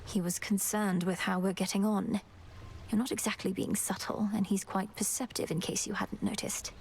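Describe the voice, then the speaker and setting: A woman's voice: mid-range voice, level tone, moderate pace, a little hiss or hum, lightly acted. A woman voicing an earnest, determined young princess goddess in a video game, courteous, sincere and articulate. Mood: firm.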